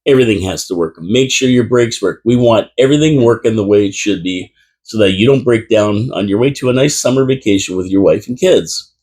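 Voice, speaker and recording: A man with a fairly low, husky voice hosts a live talk radio show from a small studio. He rambles in a relaxed, chatty way, trailing off and restarting his sentences as he goes. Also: brisk. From Canada. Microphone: studio microphone.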